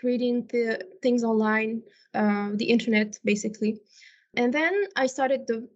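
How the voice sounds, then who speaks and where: Female interview guest from Morocco, non-native English, reflective and articulate, slightly dull lo-fi sound. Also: on the high side. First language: not English. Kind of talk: conversational interview answers. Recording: dull, lo-fi audio.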